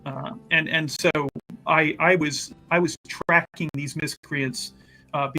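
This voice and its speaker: A man playing a scheming, protective rogue in an improvised tabletop game, grandiose and halting as he talks. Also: on the high side, pushed and bright, low-quality recording. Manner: halting, grandiose, evasive, many repeats. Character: smooth-talking scheming rogue, self-important, protective man. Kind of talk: improvised in-character tabletop roleplay.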